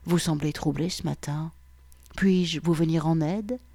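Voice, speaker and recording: A woman's voice: sits low, steady tone, a little slow, warm tone, dry recording, pitched below the performer's own voice. A woman voicing a suave, commanding man. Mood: seductive. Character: firm, dominant, seductive man.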